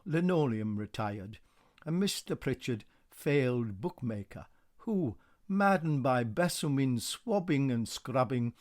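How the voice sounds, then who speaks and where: A man reading poetic drama as narrator in a theatrical, deliberate manner. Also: medium pitch, a little mellow, dry recording, studio quality. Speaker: narrator, solo reader.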